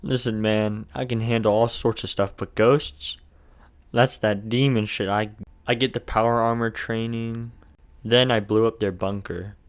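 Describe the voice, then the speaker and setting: A man's voice: lowish voice, fairly even intonation, unhurried. A man with a lisp narrating a video game challenge run in a casual, crude, jokey way.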